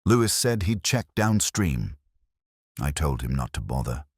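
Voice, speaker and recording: A man playing a melancholy middle-aged English police inspector, narrating his case in a radio drama with a solemn, measured, literary delivery. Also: a bass voice, very animated, slightly rough, dry recording, studio quality, full character voice. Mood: somber, reflective. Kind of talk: scripted first-person radio drama narration.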